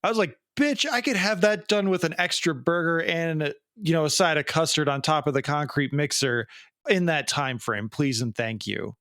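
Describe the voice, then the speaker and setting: A man's voice: a little high, moderate pace, a bit bright, dry recording, studio quality. Joking Midwestern man in his late thirties. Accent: American Midwestern. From American Midwest. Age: late 30s. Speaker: podcast co-host. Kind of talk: casual comedic chat.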